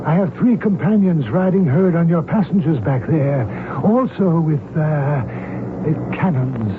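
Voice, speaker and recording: An actor playing a coolly polite, commanding hijacker reverend in a radio drama, speaking in a formal, measured, self-assured way. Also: male voice, a little high, animated. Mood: calm menace. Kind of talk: old-time radio drama acting. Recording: noisy, tinny old radio recording.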